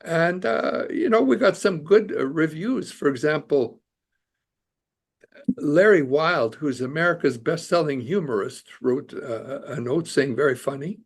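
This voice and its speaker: Earnest older man, slightly high voice. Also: measured pace, slightly rough. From Canada. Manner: earnest, many filler words and restarts. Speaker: immigration lawyer and author, podcast guest. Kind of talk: casual interview conversation.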